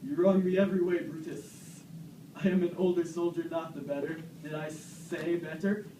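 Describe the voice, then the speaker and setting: A man's voice: fairly high pitch, flat delivery, normal speed, close to an everyday voice. A man playing Cassius, a proud, aggrieved Roman soldier, in an amateur puppet-show parody of Shakespeare, with declamatory delivery. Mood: defiant. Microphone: distant camera microphone. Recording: echoey noisy room, far from mic.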